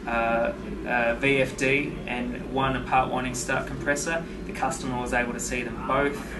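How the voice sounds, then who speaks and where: A man in his thirties explains a technical process as an engineer, steady and plain, often pausing on uhs and repeating words. He sits a little back from the mic in a roomy factory office. A loud air-conditioning hum runs under everything he says. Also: medium pitch, far from the mic. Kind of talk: workplace interview.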